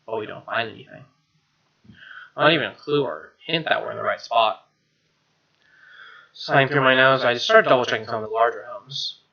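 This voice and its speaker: A man reading a visual novel's narration aloud as a let's play host, wry and expressive, over faint music. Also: medium pitch, lo-fi sound. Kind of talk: reading game narration with asides. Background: game music.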